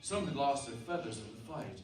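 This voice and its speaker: A man's voice: medium pitch, lively intonation, a little fast, darkish tone, close to an everyday voice. A man playing a shaken, protective husband and father in a dramatic, emphatic radio play. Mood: grim, drained. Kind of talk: radio drama acting with first-person dramatic narration. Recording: roomy, distant stage sound with music.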